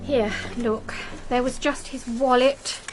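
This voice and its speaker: An actress with an English accent playing a kind, fretful younger vicar's wife in a British radio drama, earnest and solicitous. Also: female voice, on the high side, fairly even intonation, slow, faint music behind. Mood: subdued, earnest.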